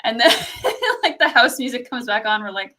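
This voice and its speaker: A young woman from Canada with a fairly quiet speaking voice, telling a story in casual chat with lots of "like" and laughter. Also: on the high side, big pitch swings, moderate pace. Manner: storytelling, laughs often, says "like" a lot.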